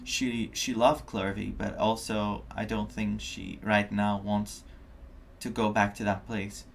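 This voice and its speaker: A game streamer giving casual live commentary, musing and halting while thinking out loud. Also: low voice, little pitch movement, gravelly, a little hiss or hum.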